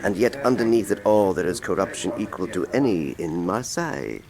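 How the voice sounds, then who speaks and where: An actor playing an urbane, courtly cardinal in a radio drama, speaking with formal, wry courtesy. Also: male voice, low voice, animated, fairly quick, darkish tone. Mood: urbane, courteous. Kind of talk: full-cast radio drama acting.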